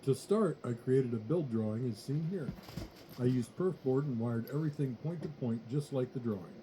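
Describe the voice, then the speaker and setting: A man reading a scripted technical tutorial in a measured, matter-of-fact way. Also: medium pitch, dark tone, a little hiss or hum, rough recording. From United States. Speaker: electronics tutorial narrator.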